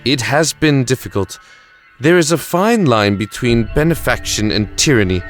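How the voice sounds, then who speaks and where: A man's voice: medium pitch, animated, normal speed, soft background music, natural voice. A man playing a weary, grandiose time-traveling alien emperor in an audio drama, self-justifying and reflective. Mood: weary, resigned.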